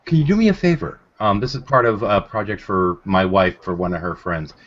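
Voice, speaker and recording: A man's voice: lowish voice, fairly quick. A man hosting a casual group chat, talking in a rambling storyteller's way. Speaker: host of a group video call. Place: indoors at home on a group video call. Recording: dull lo-fi internet call audio.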